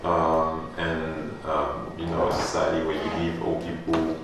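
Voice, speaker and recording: Deep gravelly man in an echoey gallery. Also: completely flat delivery, measured pace, over music. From France. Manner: loose, rambling with many fillers. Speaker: artist. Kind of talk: gallery artist talk. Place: gallery space. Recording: echoey room, distant mic, faint hum.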